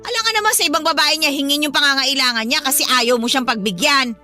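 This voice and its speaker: A woman's voice: sits high, fast, pushed and bright, faint music behind. A woman playing a scornful, nagging middle-aged mother-in-law in a radio drama, sarcastic and accusing, with a Filipino accent. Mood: scolding.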